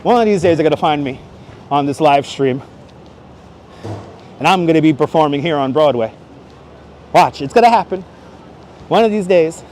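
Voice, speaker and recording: Man, high voice, very lively swooping intonation, playful livestream chat, exclamatory, faint city street noise. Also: normal speed, long pauses. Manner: animated, exclamatory, playful, chatting with viewers. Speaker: livestream host. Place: outdoors on busy Manhattan streets. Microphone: wireless clip-on microphone.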